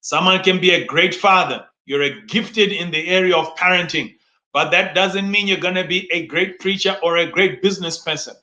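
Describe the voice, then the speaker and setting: A man's voice: high-pitched, steady tone, moderate pace, pushed and bright, dull audio. A man preaching in an instructive, motivational style, speaking emphatically and often posing questions that he answers himself. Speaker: preacher and teacher. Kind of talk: motivational sermon teaching. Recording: echoey, roomy sound.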